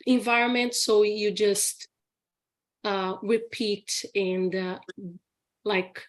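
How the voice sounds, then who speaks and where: Woman, Brazilian accent, English teacher, live Q&A, slow pace, chatty, laughs often. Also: medium pitch. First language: Portuguese. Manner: chatty, laughs often, many restarts and "okay?" tags. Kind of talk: live Q&A session.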